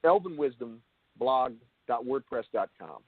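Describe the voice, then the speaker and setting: Halting male interviewer on a phone-like line. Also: mid-range voice, animated, breathy edge, no echo. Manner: halting, repeats and rephrases himself. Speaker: show host interviewer. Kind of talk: casual interview. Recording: narrow phone-like call line.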